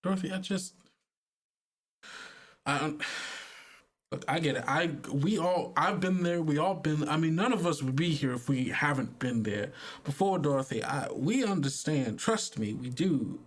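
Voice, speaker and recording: A man voicing a gentle, persuasive woman in a tabletop game, speaking in a folksy, halting, consoling way. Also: fairly high pitch, expressive, husky.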